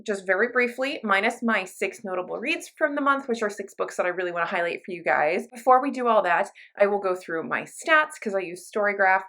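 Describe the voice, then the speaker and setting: Casting: female book vlogger, medium pitch, casual and chatty, loosely conversational delivery with self-interruptions. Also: expressive, fairly quick, hardly any pauses, fairly bright tone. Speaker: book reviewer, video channel host. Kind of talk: casual chatty vlog talk to viewers.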